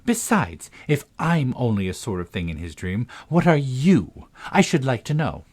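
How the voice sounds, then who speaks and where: A man voicing a gentle, earnest and sensitive young girl from a storybook. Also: mid-range voice, sing-song, moderate pace, husky, voice pushed up. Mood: anxious.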